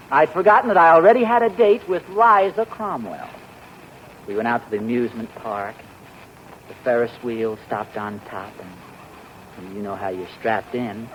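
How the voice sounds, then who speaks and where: Playful, bragging husband, lively delivery; muffled 1940s radio with hiss. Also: male voice, fairly high pitch. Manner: teasing, playfully boastful storyteller. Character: teasing husband spinning a boastful tale. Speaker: sitcom lead. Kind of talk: 1940s radio sitcom acting.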